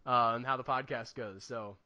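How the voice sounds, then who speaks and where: A man's voice: mid-range voice, steady tone, moderate pace, sharp tone, dry recording, highs cut off. A man in his late twenties chatting casually as a podcast co-host, with a joking, self-deprecating manner. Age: late 20s.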